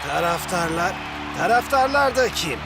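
A sour, scornful cartoon footballer. His fairly high voice swings widely as he sneers and complains, with music clearly behind. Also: expressive, normal speed, a loud crowd. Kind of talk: animated cartoon dub acting.

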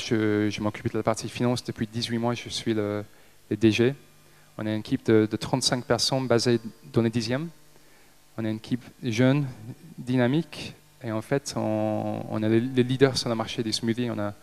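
A man in his thirties from Liverpool with an English accent, a native English speaker giving a talk on stage, speaking haltingly with many hesitations. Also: lowish voice, a little mellow, clean tone. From Liverpool, England. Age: 30s. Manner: halting, frequent hesitations and restarts. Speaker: company director giving a talk. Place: stage in an event hall with a seated audience. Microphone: handheld microphone.

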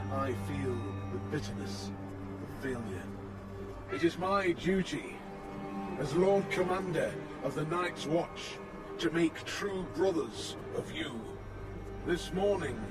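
An actor playing a stern old lord commander in a fantasy game, giving grave, formal oratory. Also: male voice, on the high side. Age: older. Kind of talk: dramatic fantasy game acting.

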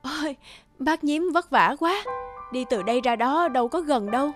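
A gentle mother bird from a cartoon, speaks in a high voice. She is tender, polite and patient, with clear music underneath. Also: lively intonation, moderate pace. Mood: grateful, tender. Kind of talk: cartoon dubbing. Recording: music behind the voice.

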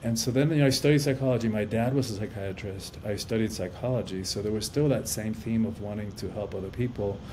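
Low, mellow-voiced man in his fifties. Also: fast, few pauses, slight background noise. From Cuba. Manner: earnest, reflective, repeats words as he thinks. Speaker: podcast guest, life coach and author. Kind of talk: casual interview conversation. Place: indoor room at home. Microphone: video call.

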